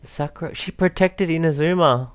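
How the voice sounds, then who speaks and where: A woman's voice: low-pitched, normal speed, smooth. A woman giving casual reaction commentary as a let's play streamer, in short informal remarks.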